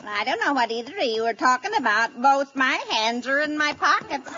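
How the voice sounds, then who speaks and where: A woman's voice: sits high, expressive, brisk. A woman playing a prim, mild friend in a 1940s radio comedy. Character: prim, mild female friend along for the ride. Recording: noisy, dull vintage radio recording.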